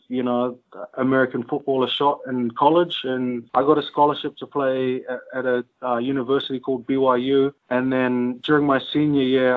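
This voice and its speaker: A young man from New Zealand talks easily about his sports career, loose and full of fillers. The sound is thin and phone-like, as if coming down a call line. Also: medium pitch. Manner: relaxed, loose with fillers and restarts. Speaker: rugby player guest. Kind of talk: casual interview chat.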